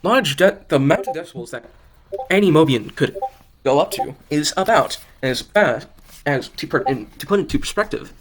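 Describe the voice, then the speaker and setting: A man's voice: fairly high pitch, lively intonation, moderate pace. A man doing an amateur read of fan fiction as narrator, tripping over lines and correcting himself. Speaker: narrator and maker of the audio drama.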